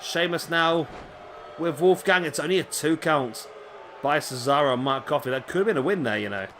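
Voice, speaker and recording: A man giving casual play-by-play commentary on a wrestling video game, chatty with rambling asides to viewers, his voice going a little hoarse. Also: fairly high pitch, normal speed, a bit bright, slight background noise. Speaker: gaming commentator. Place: home room, late at night.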